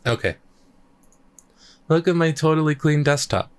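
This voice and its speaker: A man chatting casually on a live stream, thinking out loud as he works on his music, his voice a little croaky. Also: on the high side, a little slow. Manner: rambling, thinks aloud while working. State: slightly croaky voice. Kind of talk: casual livestream chat.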